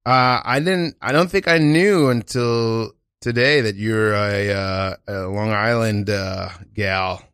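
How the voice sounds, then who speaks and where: A man hosting an improv comedy podcast, talking casually and a bit haltingly. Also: lowish voice, a bit bright, smooth, no room sound, clean studio sound. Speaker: podcast host. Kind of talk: casual chat.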